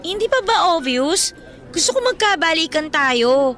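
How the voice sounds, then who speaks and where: A woman's voice: very high-pitched, very animated, unhurried, fairly bright tone, heavily acted. A woman acting a desperate, imploring teenage student pleading with her boyfriend in an emotional radio drama. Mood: remorseful, pleading.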